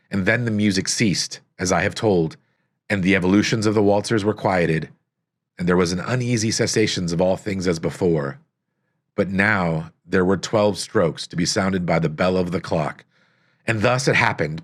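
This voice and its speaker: A man reading a gothic story aloud as scripted narration, with solemn, dramatic, deliberate phrasing. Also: fairly low pitch. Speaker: audiobook narrator.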